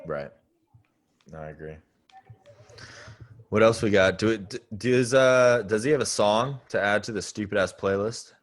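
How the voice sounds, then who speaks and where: A man's voice: on the low side, normal speed, long pauses. A man co-hosting a podcast in casual, slangy, teasing banter. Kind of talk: casual chat.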